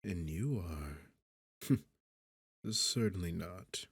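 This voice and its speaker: Man acting a low, slow, smug demon king. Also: animated, breathy edge, no echo, studio quality, full character voice. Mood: mocking, coaxing. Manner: smug, slow, teasing, condescending. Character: arrogant, sarcastic male demon king, taunting and seductive. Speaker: audio roleplay performer. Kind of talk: ASMR fantasy roleplay acting.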